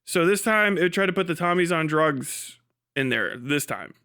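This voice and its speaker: A man's voice: on the high side, lively intonation, moderate pace, pushed and bright, clear, resonant tone. A man hosting a casual livestream, talking in a sweary, dismissive, sardonic way. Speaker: livestream host.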